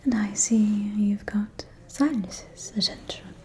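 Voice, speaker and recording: A woman playing a gossiping, catty aristocratic vampire lady in a whispered ASMR roleplay, with a breathy, confiding, arch delivery. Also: mid-range voice, warm tone, a little hiss or hum, close to an everyday voice. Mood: warning, intrigued. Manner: slow, confiding, arch, drawling.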